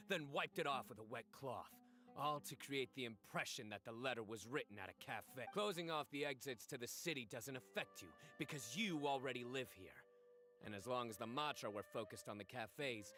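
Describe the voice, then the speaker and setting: An actor playing a stern, composed young lawman in a video game, speaking in a deliberate, accusatory way. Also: medium pitch, sharp tone.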